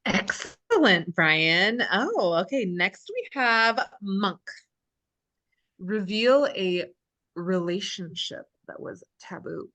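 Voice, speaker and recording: A woman's voice: mid-range voice, sing-song, pushed and bright, exaggerated voice. A woman reading the part of a firm, teasing game show host at a script table read, with authoritative delivery. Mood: presiding. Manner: deliberate, authoritative.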